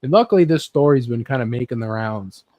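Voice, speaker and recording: A man with a mid-pitched voice leads a loose geek-culture chat in a dry, close recording. He rambles, swears freely and slips into acting out small imagined scenes. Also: animated, fast talker, no echo, highs cut off. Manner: rambling, acts out imagined scenes with sound effects. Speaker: host leading a group chat about games and films.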